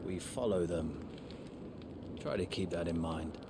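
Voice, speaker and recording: An actor playing a stern, commanding military sergeant with terse delivery in a video game cutscene. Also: male voice, low-pitched, unhurried. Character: stern male military sergeant leading assassins. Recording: loud noise from game soundscape.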